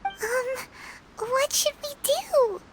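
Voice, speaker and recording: A woman voicing a tiny, innocent, anxious childlike companion in a video game, squeaky and hesitant. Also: expressive, extremely slow, very noisy, exaggerated voice. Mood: worried. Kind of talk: video game character acting.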